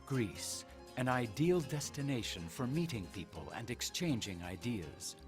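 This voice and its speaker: Male VO, slow lyrical travel-promo read, music bed underneath. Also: mid-range voice, very animated. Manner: slow, poetic, measured delivery. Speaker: promotional video narrator. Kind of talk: scripted tourism narration. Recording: narration over loud music.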